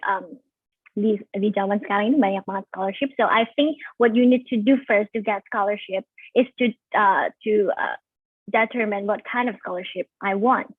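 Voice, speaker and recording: A young woman with an Indonesian accent presents casually over a video call, pausing on ums and restarting her sentences. Her voice is dry and squeezed into a thin, phone-like band. She drifts between English and Indonesian as she goes. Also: medium pitch, husky, no room sound. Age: 20s. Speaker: webinar speaker. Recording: tinny phone-like call audio.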